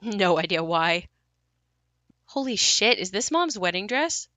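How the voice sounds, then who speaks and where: A bright, forward female voice in a very dry recording, an actress playing a grown daughter haunted by the home she left. She speaks plainly and reflectively, in the naturalistic style of an audio play. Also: medium pitch, big pitch swings, a little slow, no room sound. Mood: wry, casual. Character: wistful, haunted grown daughter revisiting her ruined childhood home.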